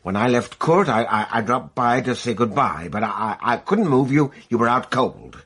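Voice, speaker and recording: Animated, protective male confidant. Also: medium pitch, normal speed, slightly rough. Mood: urgent, persuasive. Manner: reassuring, hesitant, persuasive. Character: protective, soothing male friend, quietly scheming. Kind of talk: radio mystery drama acting.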